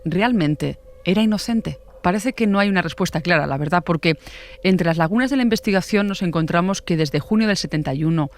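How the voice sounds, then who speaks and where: A woman's voice: on the low side, fairly quick. A woman with a Spain accent narrates a true crime podcast. She reads a prepared script, telling it conversationally as a journalist presenting a case.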